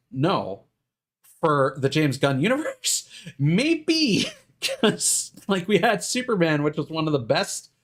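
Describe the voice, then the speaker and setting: A man chatting casually as a live streamer, rambling and thinking aloud with hesitant self-corrections. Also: medium pitch.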